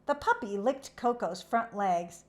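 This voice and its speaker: A woman storyteller reads a children's book aloud. Her intonation is animated and expressive, and she takes her time over the narration. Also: a little high, measured pace.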